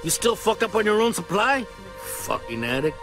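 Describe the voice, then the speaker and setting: An actor playing a contemptuous, indignant drug trafficker in a video game. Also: male voice, high-pitched, big pitch swings, normal speed. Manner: scornful, indignant. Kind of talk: video game cutscene acting. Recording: music behind the voice.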